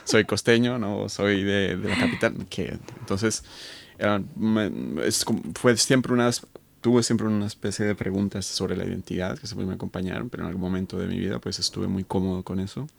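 A man in his thirties from Colombia and Spain, a radio interview guest telling his story casually and haltingly, searching for words. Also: on the low side, steady tone, vocal fry. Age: 30s. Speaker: interview guest, academic researcher.

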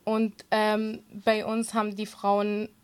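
A woman's voice: medium pitch, monotone, takes it slowly, smooth. A woman whose first language is Kurdish, giving earnest, conversational interview answers in non-native German as a guest. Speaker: interview guest, swimming teacher and social media creator.

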